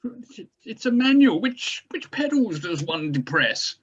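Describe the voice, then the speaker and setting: A man's voice: sits high, big pitch swings, a little slow, a bit bright, slightly rough, lo-fi sound, exaggerated voice. A man playing a mild, learned doctor who speaks formally and hesitantly in a drama table read. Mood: sheepish, flustered. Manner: hesitant, stammering, wordy and formal. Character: mild, fussy, learned male doctor at the wheel. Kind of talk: table read of a horror screenplay.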